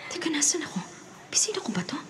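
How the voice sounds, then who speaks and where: Anxious high-voiced haunted woman. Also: big pitch swings, fast talker, whispered. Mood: confused, uneasy. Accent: Filipino. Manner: overwrought, gasping denials, nervous self-talk. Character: anxious woman forger haunted by a ghost, stubbornly disbelieving. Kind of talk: Filipino radio drama acting.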